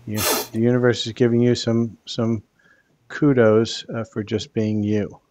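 An older man hosting a live card reading over a headset, speaking in a calm, soothing, unhurried way. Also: medium pitch, dry recording. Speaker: tarot card reader.

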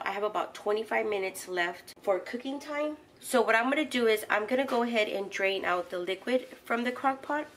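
A woman's voice: fairly high pitch, fairly bright tone. Middle-aged woman, friendly home-cook host explaining a recipe step by step, kitchen recording with light noise. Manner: instructional, walks through each step with fillers. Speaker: home cooking channel host. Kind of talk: casual step-by-step cooking narration.

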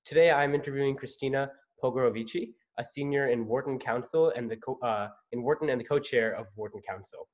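A man's voice: mid-range voice, fast talker, few pauses, lo-fi sound. Young man hosting a formal interview. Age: young adult. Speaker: interview host. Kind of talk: scripted interview questions. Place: home office. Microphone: video call.

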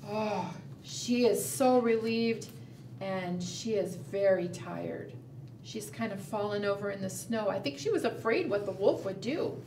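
Slow-talking woman storyteller, roomy sound. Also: medium pitch. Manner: simple, expressive, asks the listeners questions. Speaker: children's librarian and storytime host. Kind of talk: picture-book storytelling for preschoolers. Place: indoor room, book shown to camera. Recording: roomy, distant mic, background noise.

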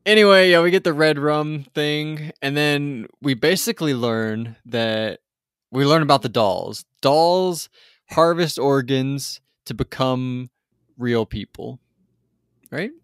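A man's voice: medium pitch, slow pace, smooth, no room sound, studio quality. A man co-hosting a podcast, chatting casually through an episode recap with lots of hesitant restarts. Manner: rambling, hesitant, many restarts. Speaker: podcast co-host.

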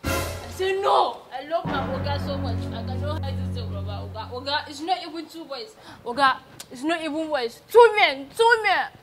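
High-pitched, dramatic Nigerian housemaid. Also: female voice, lively intonation, brisk, over music. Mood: excited, dramatic. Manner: theatrical, repetitive, exclaiming. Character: blabbing, dramatic female housemaid telling on her madam. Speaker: actress in a comedy skit. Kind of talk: broad comedy skit acting.

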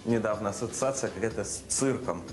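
A man's voice: on the low side, brisk. A man, chatting casually as a guest. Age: 30s. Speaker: guest, homeowner and father. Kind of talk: casual chat. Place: indoors in a furnished room. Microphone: clip-on lapel mic. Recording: roomy indoor sound, faint music.

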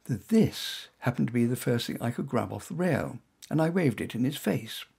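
A man reading his own short story aloud as first-person narration in a wry, expressive manner. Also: mid-range voice, a little fast, gravelly, slightly rough.

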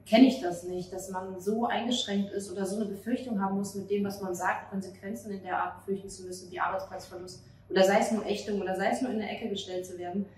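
A woman's voice: medium pitch, fairly even intonation, quick pace, hardly any pauses, darkish tone. Woman answering in a very echoey space. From Germany. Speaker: playwright and actress being interviewed. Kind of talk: earnest interview answers. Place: outdoor café table on a street at night. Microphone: handheld interview mic held across the table. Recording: roomy, distant mic, street-side ambient noise.

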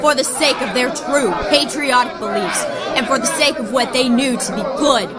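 A woman's voice: sits high, normal speed, a loud crowd, close to an everyday voice. A woman playing a proud, defiant naval captain on trial in an audio drama, making impassioned formal speeches. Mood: impassioned, indignant.

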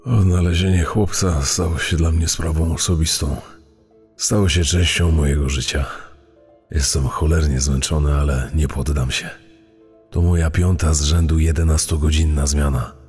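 A man's voice: extremely low pitch, fairly even intonation, moderate pace, a little mellow, slightly rough, exaggerated voice. A man playing a weary, determined volunteer searcher in a dramatised true-crime podcast. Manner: weary, resolute. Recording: long reverb, music underneath.

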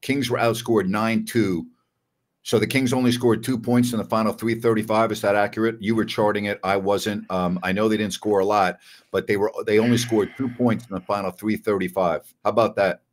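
An older man with a somewhat low voice hosts a postgame basketball chat from his home office over a video call. He breaks down the game's key moments with emphatic disbelief. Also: fairly even intonation, brisk. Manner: emphatic, incredulous about the game. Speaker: host. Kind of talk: casual postgame sports chat.

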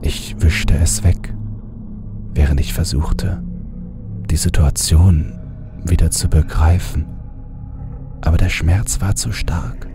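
Deep, slow man narrating horror. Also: fairly even intonation. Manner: hushed, often half-whispered delivery. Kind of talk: scripted horror audiobook narration. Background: music bed.

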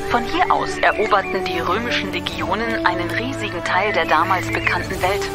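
A woman plays a talking time machine with a factual, informative manner. The medium-pitched voice explains like a guide in an educational audio drama, over very loud music. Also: lightly acted.

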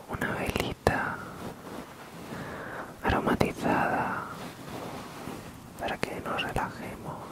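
A man with an accent from Spain whispering an ASMR guided meditation in a soft, soothing, drawn-out way. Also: rough recording. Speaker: ASMR channel host.

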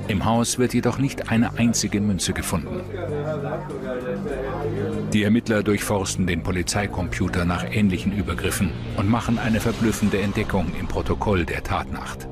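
A man's voice: on the low side, steady tone, normal speed, loud music behind the voice. A man narrating a true-crime documentary from a script, as its narrator.

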